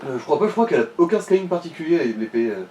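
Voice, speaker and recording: Mellow-voiced man in an echoey room. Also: fairly high pitch, big pitch swings, rapid-fire. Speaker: let's play companion. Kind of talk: casual gameplay chat and advice. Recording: distant echoey mic, game music behind.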